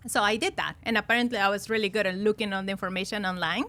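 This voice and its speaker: A woman's voice: mid-range voice, fairly even intonation, very fast, pushed and bright. A woman of around forty from Peru with a Peruvian Spanish accent, telling stories as a podcast guest in a chatty way, laughing often between fillers. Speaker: podcast guest, loan officer. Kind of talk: casual storytelling interview.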